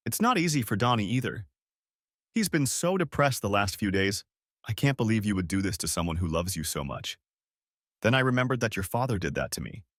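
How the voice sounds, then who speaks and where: A man's voice: on the low side, sing-song, a little fast, a bit bright, dry recording, studio quality. A man reading a story aloud, voicing a stern middle-aged mother who scolds her grown daughter bluntly. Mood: scolding.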